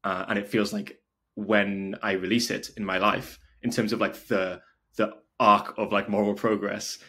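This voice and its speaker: Husky, creaky man from North Wales. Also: fairly low pitch, flat delivery, normal speed, sharp tone. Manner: informal, frequent "like" as filler. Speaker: podcast guest, video maker and documentary filmmaker. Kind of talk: casual interview chat.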